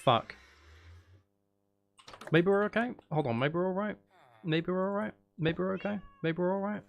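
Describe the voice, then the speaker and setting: A man with a gravelly voice giving casual, rambling, sweary commentary as he thinks aloud while playing a video game. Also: sits high, moderate pace. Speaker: gaming video host.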